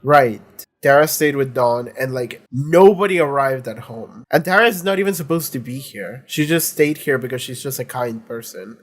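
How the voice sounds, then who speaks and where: A man's voice: mid-range voice, moderate pace. Congested young man chatting bluntly. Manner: chatty, blunt, swears, laughs often. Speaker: reaction video host. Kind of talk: casual reaction commentary.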